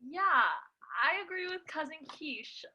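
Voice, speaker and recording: Female co-reader, very high and bright with a husky edge, giggly amateur line reading. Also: big pitch swings, slow, dull audio. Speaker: co-reader voicing story characters. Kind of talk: amateur scripted read-aloud with casual asides.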